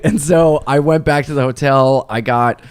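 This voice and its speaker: A man tells rambling anecdotes on a comedy podcast, often breaking into laughter as he goes. His voice sits at an everyday pitch and is recorded dry and close, with no room sound. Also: fairly even intonation, moderate pace, clean tone, no echo. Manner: laughing through rambling stories. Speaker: comedian guest. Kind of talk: casual podcast storytelling.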